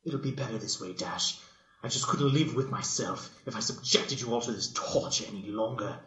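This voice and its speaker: A man's voice: on the low side, moderate pace, a bit bright, lo-fi sound, close to an everyday voice. Man voicing a meek female cartoon pony, echoey. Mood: resigned. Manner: timid, pleading.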